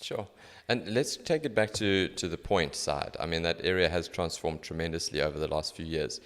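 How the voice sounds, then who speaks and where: A man's voice: sits low, normal speed. A man hosting a podcast interview, asking curious, encouraging questions. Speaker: podcast host. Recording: roomy hall-like reverb.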